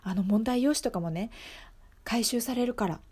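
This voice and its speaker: A woman acting as an earnest young woman schoolteacher, with a fairly high voice. She confides her story in an improvised radio monologue, emphatic and repeating words for weight. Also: normal speed, darkish tone, slightly rough. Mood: desperate, animated.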